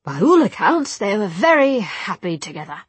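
A woman's voice: medium pitch, very animated, moderate pace, slightly rough, dry recording. A woman voicing an earnest, concerned woman of authority in an audiobook, proper and serious in manner. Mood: uneasy, firm. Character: earnest, concerned woman in charge, hosting dinner. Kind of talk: audiobook character voice.

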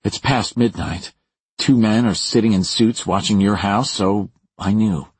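A man voicing a haunted, defensive woman in an audiobook, urgent and halting, with a raised husky voice. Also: lowish voice, a little mellow, no room sound, rough recording. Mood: uneasy, confiding. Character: haunted, defensive woman recounting a traumatic night. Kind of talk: audiobook character dialogue.

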